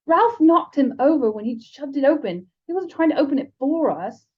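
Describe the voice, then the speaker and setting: An earnest boy wizard acted by a woman explains things in a high, thoughtful voice. He is slightly hesitant, in children's storybook style, and the recording is a little dull. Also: animated, moderate pace.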